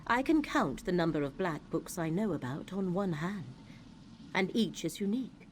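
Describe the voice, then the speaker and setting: A woman playing a solemn, cryptic seer in a video game, speaking in a measured, formal and earnest way. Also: on the low side, big pitch swings, slight background noise. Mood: explanatory, solemn. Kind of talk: video game character acting.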